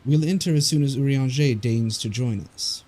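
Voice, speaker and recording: A man's voice: mid-range voice, normal speed, darkish tone, pitched above the performer's own voice, lightly acted. A man voicing a courtly male scholar, polite and formal, with archaic phrasing. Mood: courteous.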